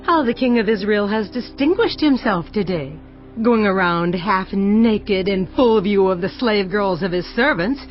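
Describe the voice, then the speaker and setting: An actress playing a sarcastic, contemptuous royal wife in dramatized scripture, biting, over music. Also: female voice, medium pitch, measured pace, lightly acted. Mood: scornful. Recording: muffled, band-limited audio.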